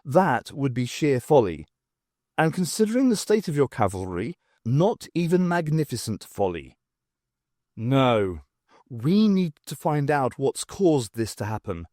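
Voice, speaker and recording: A man voicing a brisk, stern woman adventurer in an audiobook, commanding and dryly exasperated. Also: medium pitch, sing-song, a little mellow, dry recording, clean studio sound. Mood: scornful, then probing.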